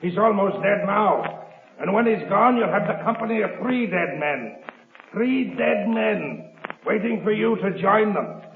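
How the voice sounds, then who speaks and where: Gravelly, high-pitched old radio villain. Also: male voice, lively intonation, measured pace, narrow, tinny audio, full character voice. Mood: gloating. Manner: menacing, taunting. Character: ruthless gloating double-crossing swindler and killer villain. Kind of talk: 1930s radio acting. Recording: scratchy band-limited 1930s radio, echoey, noisy.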